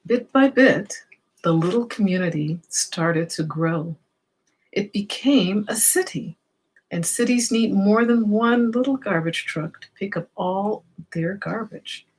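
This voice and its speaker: Female children's storyteller, slow and expressive, slightly breathy-husky tone, talks directly to little listeners. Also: medium pitch, low-quality recording. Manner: expressive, explains and poses questions to children. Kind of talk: read-aloud storytelling with asides to young listeners.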